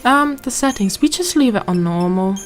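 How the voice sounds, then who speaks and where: A woman's voice: mid-range voice, animated, moderate pace. German-accented woman doing game commentary. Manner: chatty, reads in-game text aloud with stumbles. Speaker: gameplay commentator. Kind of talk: let's play commentary. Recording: game music behind.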